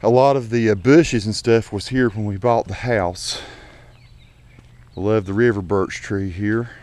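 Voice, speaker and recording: Low-voiced man, casual garden vlogger. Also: a little slow, darkish tone. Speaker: home gardener giving a tour. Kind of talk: casual vlog narration. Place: outdoors in a home garden, walking. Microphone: action camera mic. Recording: noisy outdoor action camera audio.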